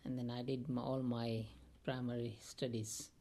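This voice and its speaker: A man tells his life story haltingly, full of pauses and fillers. His voice is gravelly and dark, with a mellow, low tone throughout. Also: little pitch movement. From India. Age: 50s. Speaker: oral history interviewee.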